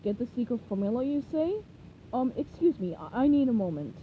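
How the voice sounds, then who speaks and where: A sly tiny cartoon villain as a woman puts him on, plotting, lively sneaky voice. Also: on the high side, brisk, phone-quality sound, a little hiss or hum. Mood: scheming.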